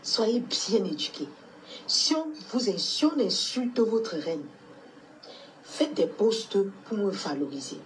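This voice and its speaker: A woman's voice: mid-range voice, big pitch swings, slow, slight background noise, rough recording. A woman with a cold, coughing now and then, talking to her fans in a motherly, scolding way on a live stream. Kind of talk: casual live stream address.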